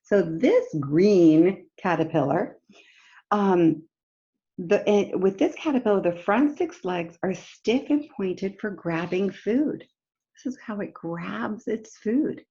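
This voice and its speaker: A woman reading a picture book aloud to young children like a teacher, pausing to explain simply and ask them questions directly. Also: medium pitch, lively intonation, dark tone, dull audio. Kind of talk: read-aloud story time lesson for young children, with explanatory asides.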